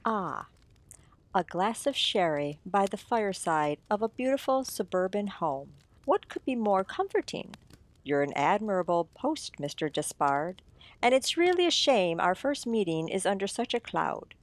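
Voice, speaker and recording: A woman playing a suave mystery writer in a radio drama, telling the tale in a deliberate, teasing, theatrical manner. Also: medium pitch, big pitch swings. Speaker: audio drama actor. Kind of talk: old-time radio mystery narration.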